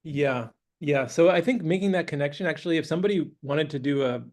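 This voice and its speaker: A man giving a relaxed university lecture, thinking aloud with many pauses, clearing his throat as he loses his voice. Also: a little high. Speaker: guest lecturer. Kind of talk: academic lecture with questions. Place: university classroom.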